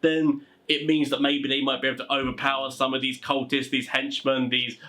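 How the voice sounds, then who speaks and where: A man's voice: mid-range voice, little pitch movement, fairly quick, sharp tone. A man giving informal gaming advice in a rambling, conversational way, often leaning on stock phrases. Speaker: tabletop gaming advice presenter. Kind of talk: informal explainer talk.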